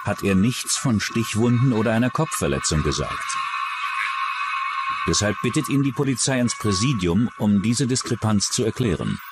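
A man's voice: on the low side, animated, moderate pace, heavy background noise. A man reading scripted true-crime documentary narration.

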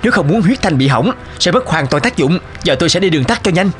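An actor playing a brave, stubborn sled driver devoted to his dogs, earnest and dramatic, in an animated film. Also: a little high, fast. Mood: determined. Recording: music behind the voice.

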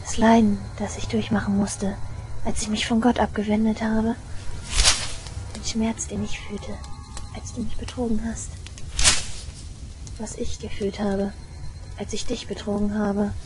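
A woman playing an obsessive, vengeful young shrine maiden in a German audio drama, eerily tender, with breathy whispers and slow menace. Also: medium pitch, flat delivery, music in the background, natural voice. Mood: gloating, unhinged.

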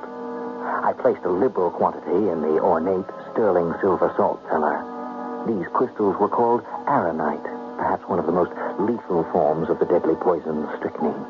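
Scheming nephew, 1970s radio drama; lowish, animated, confessional delivery, muffled old broadcast sound. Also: male voice, normal speed. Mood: tense, scheming. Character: bitter scheming nephew, anxious first-person narrator of his crime. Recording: dull vintage radio recording, music behind.

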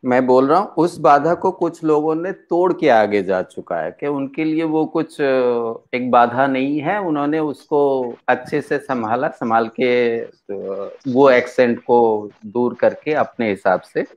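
A middle-aged man interviewing a guest over a video call, asking questions haltingly with many short acknowledgments. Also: medium pitch. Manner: halting, frequent "haan" acknowledgments. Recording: dull lo-fi video call audio.

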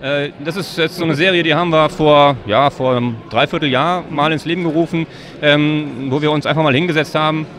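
A man's voice: a little high, fairly even intonation, a little fast, a bit bright, a little hiss or hum. A man in his thirties in a casual, joking interview chat, speaking into a handheld microphone. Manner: joking, informal. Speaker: whisky bottler company representative being interviewed. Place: whisky fair stand. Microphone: shared handheld microphone. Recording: busy fair crowd behind.

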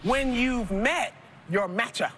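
A woman in her thirties hosting a TV game show, playful and quick with slang and jokes. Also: on the high side. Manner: playful, lively, Southern-tinged slang like y'all. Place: large studio set of a supermarket. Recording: TV broadcast over loud music. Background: loud game show music.

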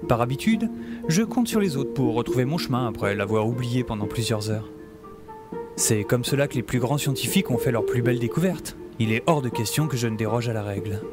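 A man's voice: mid-range voice, lively intonation, brisk, lightly acted. A man playing an optimistic, self-important middle-aged scientist and survivor, narrating an audio drama in a wry, theatrical, musing way. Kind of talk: scripted first-person audio drama narration. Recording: music behind the voice. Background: music.

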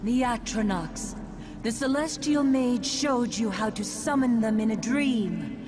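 A ghostly celestial thief from a fantasy game, voiced by a woman, solemn and insistent. She speaks slowly and portentously, pitched a little high. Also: close to an everyday voice. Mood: urgent, probing. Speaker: game character actor. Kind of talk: video game dialogue acting.

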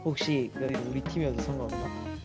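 Very low-voiced woman over loud music. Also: a little fast. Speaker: online game teammate. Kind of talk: casual chat during an online game. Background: loud music with plucked strings.

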